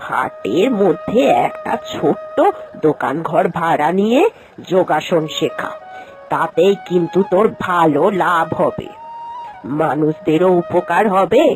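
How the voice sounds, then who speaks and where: A woman plays a kindly old village aunt in a cartoon moral tale. Her voice sits fairly low as she gives practical, homely advice, with faint music underneath. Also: darkish tone, poor audio. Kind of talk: Bengali moral-story cartoon voice acting.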